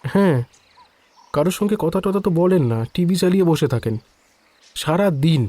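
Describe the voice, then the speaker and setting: An actor playing a casual, slightly evasive estate employee in a Bengali audio story, chatty and familiar with some hesitation. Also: male voice, sits high, lively intonation, moderate pace, mellow, no room sound.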